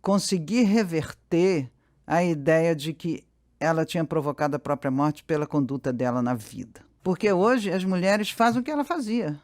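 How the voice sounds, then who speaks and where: A Brazilian man gives thoughtful, unscripted interview-style answers. His voice sits a little higher than most men's. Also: normal speed, a little mellow. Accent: Brazilian Portuguese. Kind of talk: reflective interview talk.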